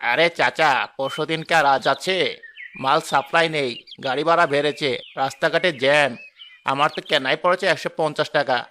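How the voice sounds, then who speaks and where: A greedy, scornful cartoon market seller, played by a man. He talks in brash, mocking hawker's patter. His voice is bright and forceful and sits a little high, with faint noise behind it. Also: steady tone, brisk. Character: greedy, rude male market fruit seller. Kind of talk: cartoon voice acting.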